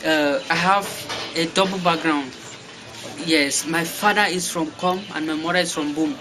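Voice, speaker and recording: A young Cameroonian man with a Cameroonian English accent, giving interview answers with many fillers and restarts. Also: fairly high pitch. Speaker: guest. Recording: noisy background.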